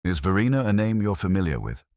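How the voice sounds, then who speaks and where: A man voicing a calm, courteous police inspector in an audiobook mystery, formal, dryly understated and quietly probing. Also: low-pitched, quick pace, no room sound, studio quality, pitched above the performer's own voice. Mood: polite inquiry.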